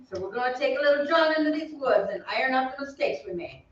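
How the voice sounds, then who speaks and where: An actor playing a brisk, commanding male army sergeant with clipped, authoritative delivery in a staged old-time radio drama. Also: high voice, lively intonation, a bit bright. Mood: stern. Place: theatre stage. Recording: very echoey, distant, dull, faintly noisy stage.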